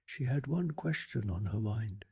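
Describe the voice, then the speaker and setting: A man softly narrating a bedtime story in a hushed, slow and deliberate ASMR style, with a breathy, gravelly voice. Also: fairly low pitch, animated. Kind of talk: soft-spoken ASMR scripted story narration.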